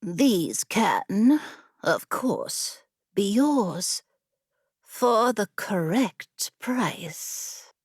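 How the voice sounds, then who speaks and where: A woman voicing a tall, ancient female genie in a fantasy audiobook, sly and predatory, with a throaty, breathy purr and drawn-out, teasing delivery. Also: mid-range voice, expressive, no echo, clean studio sound, full character voice. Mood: sly, tempting.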